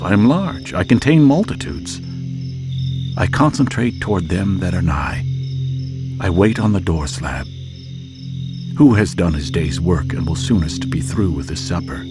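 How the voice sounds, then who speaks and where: Male voice artist, very low register, declamatory poetry reading with a widely varying melody, long pauses, over a clear music bed. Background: music and cricket soundscape.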